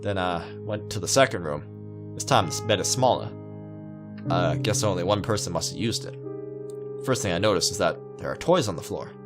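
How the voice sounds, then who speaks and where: Man, low pitch, casual read-aloud narration, slightly stumbling, clear background guitar music. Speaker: let's-player reading a visual novel aloud.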